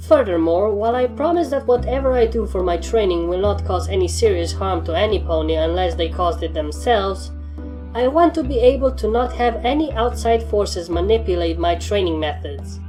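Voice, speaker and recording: A woman acts out a young pony trainer from a storybook, stern and demanding. The delivery is formal and firm, pitched a little up, with a mellow tone over music. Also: close to an everyday voice. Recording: music under the voice.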